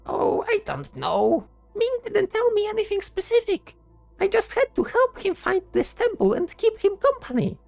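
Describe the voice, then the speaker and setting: A woman's voice: very high voice, huge pitch swings, normal speed, creaky, darkish tone, no room sound, soft background music, exaggerated voice. A woman voicing a fretful, sarcastic flying skull companion in a fantasy audio drama. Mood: defensive, flustered. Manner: exasperated, sarcastic.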